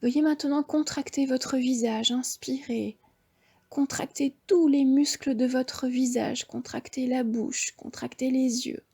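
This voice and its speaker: A woman with a French accent leads a yoga nidra session, speaking very slowly and softly. Her voice is fairly high, mellow and breathy, often dropping into half-whispered breathing cues. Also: fairly even intonation. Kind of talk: guided relaxation instruction.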